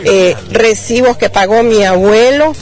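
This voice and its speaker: A woman interviewed outdoors, calmly and firmly defending her claim in detail. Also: a little high, a little slow, soft background music. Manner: firm, detailed, self-defending. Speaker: landowner's granddaughter. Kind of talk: street news interview.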